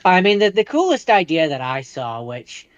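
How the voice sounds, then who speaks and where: A man's voice: on the high side, sing-song, moderate pace, clean tone, no echo. Man, dry close sound, slightly lo-fi mic, casual podcast co-host, conversational.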